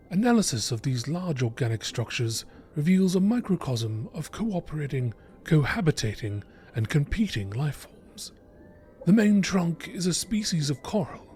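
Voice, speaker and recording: A man reading scripted nature documentary narration in a measured, informative manner. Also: fairly high pitch, very animated, a little mellow, soft background music. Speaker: narrator reading game lore entries.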